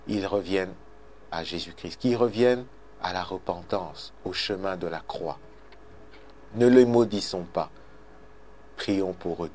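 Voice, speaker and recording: A man preaching a radio sermon and leading a prayer, fervent, repeating his phrases and swinging between loud and soft. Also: on the low side. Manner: fervent, repeats phrases, swells and drops in loudness.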